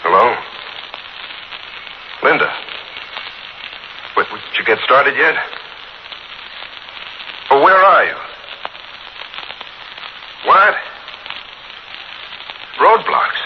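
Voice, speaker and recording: A man's voice: medium pitch, very animated, very slow, long pauses, heavily acted. An actor playing a menacing, wounded ex-convict gunman with a curt, sneering manner in a 1940s radio thriller. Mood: desperate, pleading. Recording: muffled, noisy 1940s radio recording.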